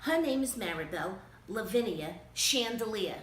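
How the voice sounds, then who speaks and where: A woman's voice: medium pitch, lively intonation, moderate pace, close to an everyday voice. Woman putting on a brash, swoopy storytime guest reader. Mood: opinionated, excited. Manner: chatty, theatrical, interrupting with asides. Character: Selma, a brash, opinionated, Fancy Nancy-obsessed guest reader. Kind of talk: children's storybook read-aloud. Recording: roomy, distant mic with faint noise.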